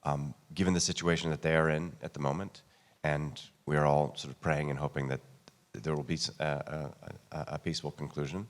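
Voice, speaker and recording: Very deep-voiced man in an echoey hall. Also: flat delivery, a little fast, few pauses. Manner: hesitant, repeats words. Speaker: audience member asking a question. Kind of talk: unscripted audience question. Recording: echoey hall with long reverb.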